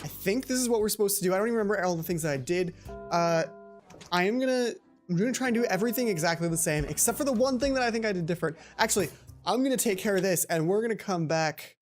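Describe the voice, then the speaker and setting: A woman's voice: medium pitch, quick pace, darkish tone. A woman hosting a let's play video in a casual, rambling, self-deprecating way, given to exclamations. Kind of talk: casual game commentary with read-aloud dialogue. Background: game music.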